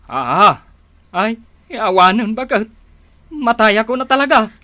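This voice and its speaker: A woman's voice: on the high side, expressive, unhurried, a bit bright, dry recording. A woman playing a whiny, scheming village spouse who feigns illness in a radio drama, complaining plaintively with an Ilocano accent. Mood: anxious.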